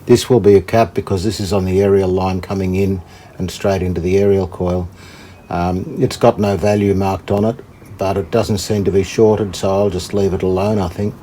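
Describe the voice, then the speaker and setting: A man giving casual hands-on commentary as a hobby restorer, thinking aloud in a tentative, halting way. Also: fairly low pitch, a little mellow. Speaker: host, hobbyist radio restorer. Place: indoors, hobby workshop. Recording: clear background noise.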